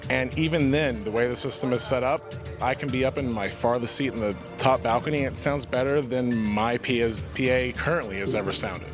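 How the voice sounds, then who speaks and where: A man who works as a theater technical director, giving an earnest, informal, explanatory testimonial in an interview. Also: medium pitch. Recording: music playing behind the voice. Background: background music.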